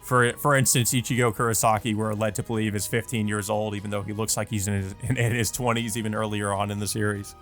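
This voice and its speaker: A man's voice: fairly low pitch, little pitch movement, quick pace, hardly any pauses, fairly bright tone, faint music behind. A man hosting a let's play, reading a visual novel aloud with casual, amused, sometimes profane commentary.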